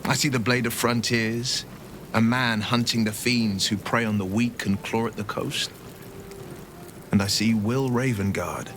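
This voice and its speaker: A man's voice: fairly low pitch, noisy background. A man playing an earnest, eloquent young nobleman monster hunter in dramatic game dialogue, burdened by his past. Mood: solemn, wistful.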